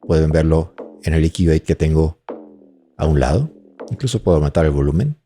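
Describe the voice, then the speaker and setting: A man giving an informal, explanatory software tutorial on audio mixing, thinking aloud as he demonstrates. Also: expressive, normal speed, music in the background. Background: drum and bass tracks playing during demonstration.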